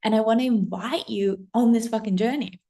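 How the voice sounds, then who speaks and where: A woman's voice: fairly high pitch, brisk, gravelly, warm tone. A woman from Russia in her thirties, talking straight to camera as a spiritual business coach, effusive and breathless, swearing freely and saying "like" often. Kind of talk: excited casual monologue to camera.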